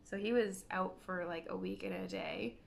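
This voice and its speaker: A woman in her thirties from Canada hosting a knitting video podcast, chatting casually and meandering, hoarse from a cold. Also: medium pitch, sing-song, normal speed. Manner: chatty, meandering, self-correcting. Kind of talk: casual chat to camera. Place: living room by a window, indoors.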